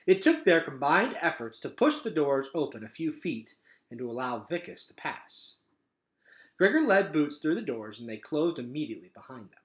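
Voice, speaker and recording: A man reading a children's novel aloud as narrator, in an expressive storytelling style. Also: a little high, normal speed, reverberant. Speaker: read-aloud reader.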